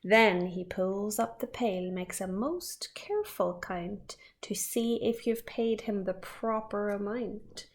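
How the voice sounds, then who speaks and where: A woman's voice: medium pitch, slow pace, hardly any pauses. A woman, a teacher reading a picture book aloud expressively with small asides.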